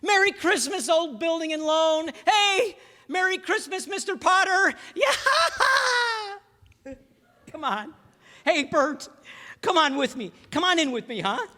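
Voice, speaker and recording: Guy playing a worked up family man in an old radio play, high voice, stammery, big hall reverb. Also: expressive, slow, bright, forceful tone, heavily acted. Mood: overjoyed. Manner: stammering, impassioned pleading. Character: desperate, emotional young family man, pleading then overjoyed. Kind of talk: live radio play acting. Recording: stage hall with long reverb.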